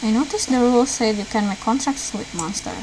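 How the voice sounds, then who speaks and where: A woman's voice: a little high, animated, brisk, noisy background. A woman reading visual novel dialogue aloud as a let's player, lightly acting out the lines. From Indonesia. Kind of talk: read-aloud game dialogue, acted lightly.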